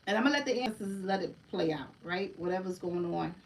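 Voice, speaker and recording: A woman's voice: on the low side, fast, distant mic, slight background noise, low-quality recording. Woman chatting through a card reading. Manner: chatty, keeps asking "right?" and calls listeners "family". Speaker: oracle card reader. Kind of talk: casual livestream card reading.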